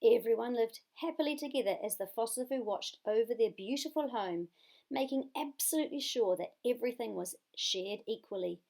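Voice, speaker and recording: A woman with a New Zealand accent reading a children's story expressively, with dramatic, drawn-out emphasis. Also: on the high side, gravelly, a little mellow.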